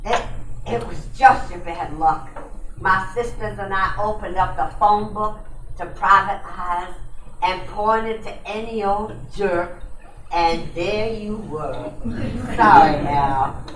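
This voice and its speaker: A woman playing a cold, conniving bad-girl gangster in an old-time radio detective drama, taunting and deliberate. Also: mid-range voice, lightly acted. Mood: cool, resigned. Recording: echoey room from a distance, faint noise.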